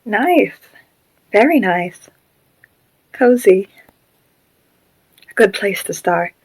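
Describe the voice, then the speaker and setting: In a dry recording, a woman plays a shy ghost who lives in a puppet. The voice sits at a medium pitch for a woman, soft and self-conscious, with a childlike earnestness suited to an amateur audio drama. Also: lively intonation, extremely slow, husky, no echo, heavily acted, rough recording. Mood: pleased, earnest.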